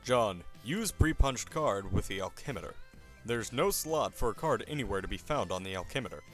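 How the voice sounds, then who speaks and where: A man's voice: fairly low pitch, animated, moderate pace, faint music behind. A man narrating a scripted comic dub in a theatrical, mock-serious style.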